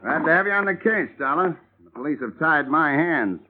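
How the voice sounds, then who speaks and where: Actor as a blunt tough bodyguard. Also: male voice, a little high, expressive, normal speed, noisy background, lightly acted. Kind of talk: 1950s radio drama acting. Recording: tinny old radio broadcast.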